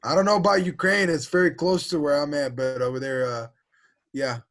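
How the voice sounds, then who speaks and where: A man's voice: fairly high pitch, fairly even intonation, fairly quick, a bit bright. A man from Bulgaria taking part in a workshop, chatting casually and asking questions. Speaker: workshop participant.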